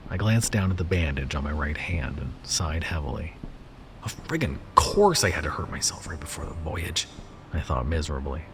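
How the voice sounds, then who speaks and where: A man reading a first-person horror story in a slow, hushed delivery that sometimes drops to a whisper. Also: a bass voice, very animated, slight background noise.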